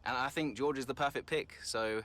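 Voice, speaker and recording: A man's voice: mid-range voice, brisk, fairly bright tone, slight background noise, lightly acted. A man playing a good-natured young racing driver in a video game, talking in a hesitant, unassuming, conversational way. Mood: friendly, hopeful.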